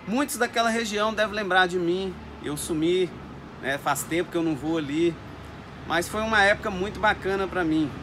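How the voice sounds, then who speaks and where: Chatty orchid grower in greenhouse. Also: male voice, high voice, moderate pace, noisy background. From Brazil. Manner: chatty, keeps addressing viewers as "gente". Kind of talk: casual talk to camera with growing tips. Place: indoors in a greenhouse full of orchids.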